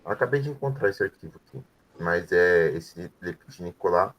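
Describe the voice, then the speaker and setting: A man's voice: low-pitched, expressive, fairly quick. A man teaching French to adult students, explaining words patiently and checking in with frequent tag questions. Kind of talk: explaining grammar in an online class.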